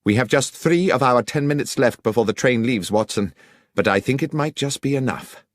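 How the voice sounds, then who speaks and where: A commanding gentleman detective performed by a man from a mystery storybook, courteous yet brisk. His formal, precise speech rises and falls with great animation in a dry, close recording. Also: medium pitch, expressive, dry recording, pitched above the performer's own voice, close to an everyday voice.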